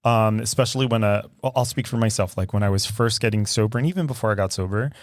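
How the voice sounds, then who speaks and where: A man co-hosting a recovery podcast in casual chat, speaking in a thoughtful, earnest way with frequent ums and pauses. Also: on the low side, no echo, clean studio sound. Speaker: podcast co-host.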